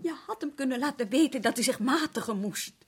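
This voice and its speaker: An actress playing a reproachful, sharp-tongued middle-aged wife of a colonial official in a radio drama, scolding and scornful. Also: female voice, sits high, expressive, brisk. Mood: scornful, arguing.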